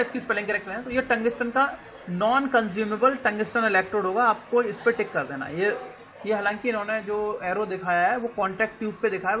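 Indian man teaching an online class, high bright voice, patient, spells terms out letter by letter. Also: low-quality recording. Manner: explains slowly, spells words out letter by letter, checks understanding often.

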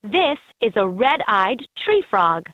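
A woman's voice: medium pitch, big pitch swings, slow pace, a bit bright, no echo. A woman voicing an upbeat guide in a children's educational animal game, in scripted narration with slightly dull game audio.

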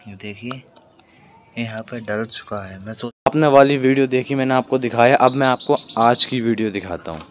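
Young male vlogger, mid-pitched, casual explainer talking straight to viewers, noisy outdoor phone recording. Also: moderate pace. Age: young adult. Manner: instructional, addressing viewers directly. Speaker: vlogger keeping hens. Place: outdoor yard with plants and brick walls. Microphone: phone at arm's length. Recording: clear background noise, outdoor birdsong.

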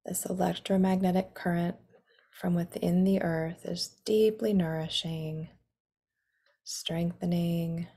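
Woman doing a guided meditation, really slow and soothing, soft dark mellow voice, kinda breathy in places. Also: fairly low pitch, steady tone.

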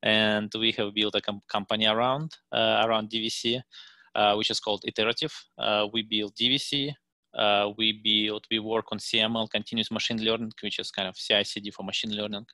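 A man in his thirties with a Russian accent talks through a tech presentation on a video call, stopping often with fillers and restarts. His voice is low and bright, and the headset sound is dry. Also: flat delivery, no echo. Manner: halting, many fillers and restarts. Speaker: guest speaker. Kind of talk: meetup presentation. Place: home room, indoors.

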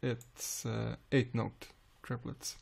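Slow, gravelly man with a Swedish accent. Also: medium pitch. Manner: calm, unhurried explaining with frequent "uh" pauses. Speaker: music software tutor. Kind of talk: screen-recorded software tutorial.

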